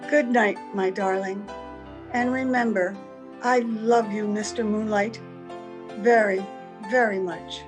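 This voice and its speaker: A woman's voice: mid-range voice, steady tone, slow, over music, natural voice. A woman playing an anguished wife and mother who can never age, earnest and pleading, in old-time radio drama style. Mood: tender. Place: at home, recorded over a video call.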